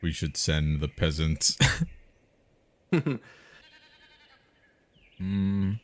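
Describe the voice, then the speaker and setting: A man's voice: very deep voice, lively intonation, very slow. A man co-hosting a live stream, chatting casually and reading an interactive story aloud.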